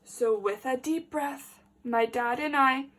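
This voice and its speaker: A woman teacher reading a picture book aloud to young children, expressive and emphatic, addressing them directly with questions. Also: high-pitched, slow pace.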